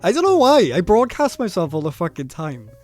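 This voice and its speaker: A man's voice: high voice, very animated, brisk, faint music behind. A man in his thirties from South Wales with a South Welsh accent, casually commenting on his own footage, nervous and self-deprecating, laughing often and swearing freely. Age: 30s.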